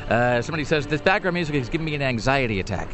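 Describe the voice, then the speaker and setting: Fast-talking wisecracking male radio host. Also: medium pitch, lively intonation, fairly bright tone, faint music behind. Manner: quick, wisecracking, stammering restarts. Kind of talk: casual radio banter.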